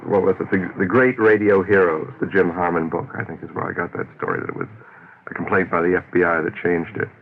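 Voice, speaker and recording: An American man interviews in a very low voice, informal and chatty. He rambles and hesitates as he reminisces. The recording is thin and phone-like, with faint noise. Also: animated, fast, creaky. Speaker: radio interviewer, host. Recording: noisy, phone-like old radio recording.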